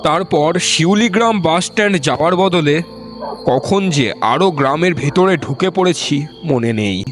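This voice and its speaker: A man's voice: fairly high pitch, animated, a little slow, soft background music. A man narrating a scripted first-person ghost story in a storyteller's style.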